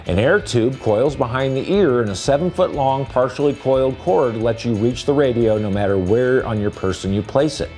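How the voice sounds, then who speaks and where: A man's voice: mid-range voice, darkish tone. A man presenting a scripted product review as narration.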